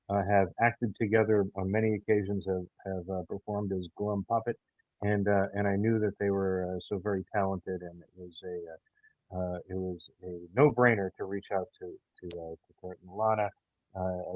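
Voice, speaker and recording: A man talking in an interview as the creator of an audio theater show, in a halting way that often repeats words and phrases. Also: low-pitched, little pitch movement, no room sound. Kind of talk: conversational interview talk. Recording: thin phone-like sound.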